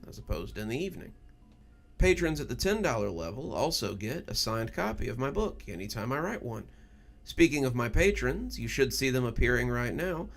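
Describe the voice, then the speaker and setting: A man with a gravelly voice, hosting and narrating scripted horror stories. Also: medium pitch, fairly bright tone. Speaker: horror story narrator and channel host.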